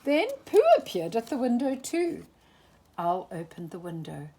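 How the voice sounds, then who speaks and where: A woman reading a children's picture book aloud for storytime, with an expressive, animated delivery. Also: medium pitch, measured pace, slight background noise. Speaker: storytime reader.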